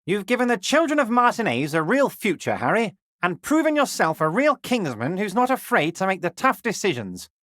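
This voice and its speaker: A corrupt, scheming union boss for a video game, his voice pitched unusually high for a male and swinging theatrically up and down. He is oily and patronizing, full of false friendliness, in a dry, close recording. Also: very animated, normal speed, hardly any pauses, a bit bright, no echo. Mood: smugly pleased.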